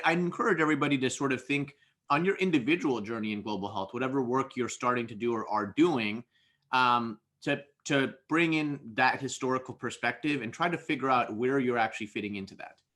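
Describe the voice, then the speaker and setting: A man in his thirties from India speaks on a video-call panel. His voice is bright and sharp. He talks reflectively and often stops to restart a phrase. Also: mid-range voice. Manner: reflective, many restarts and repeated words. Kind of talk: panel discussion.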